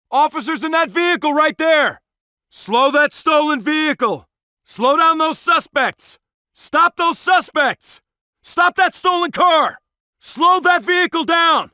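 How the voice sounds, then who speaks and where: A man's voice: very high-pitched, bright, forceful tone, dry recording, studio quality, heavily acted. An actor playing a tough male SWAT police officer in a video game, giving clipped, commanding tactical call-outs. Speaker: video game player-character voice. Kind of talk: scripted tactical barks and radio call-outs.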